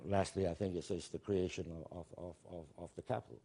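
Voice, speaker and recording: A man speaking on a conference panel, halting and often repeating words as he restarts. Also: sits low, fairly even intonation, warm tone, breathy edge. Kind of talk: panel discussion remarks. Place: conference hall.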